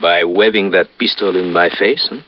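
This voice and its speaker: An actor playing an evasive French smuggler, formal and affronted, in a radio detective drama. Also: male voice, low-pitched, fairly even intonation, moderate pace. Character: indignant, evasive French male smuggler and fence. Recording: dull, noisy vintage radio recording.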